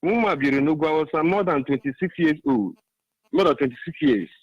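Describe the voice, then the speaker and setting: High-voiced Nigerian Igbo man calling in. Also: brisk, no room sound, dull audio. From Ebonyi State, Nigeria. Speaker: radio phone-in caller. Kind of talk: impassioned political phone-in monologue.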